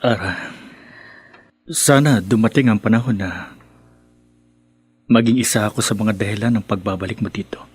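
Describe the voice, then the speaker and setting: An actor with a Filipino accent playing a devoted, patient friend in love, earnest and hesitant, in radio drama style. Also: male voice, fairly low pitch, moderate pace, pauses often, slightly rough, faint music behind. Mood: wistful.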